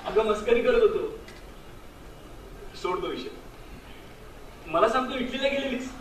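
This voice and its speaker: A man's voice: very high voice, lively intonation, measured pace. An Indian man acting in a stage drama as a sentimental married man still devoted to a former love, speaking earnestly and wistfully. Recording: echoey stage, distant mic, background noise.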